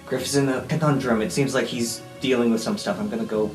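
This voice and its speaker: A man's voice: mid-range voice, fairly even intonation, fast. A man plays an improvised tabletop roleplaying game in a casual style. The room echo is noticeable. Music runs behind the voice. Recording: roomy echo under music.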